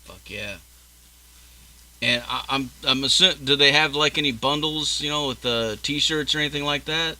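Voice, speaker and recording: A man's voice: mid-range voice, normal speed, pushed and bright, rough recording. A man in his early forties hosting a casual interview, easygoing and swearing freely as he chats. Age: 40s. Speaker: podcast host.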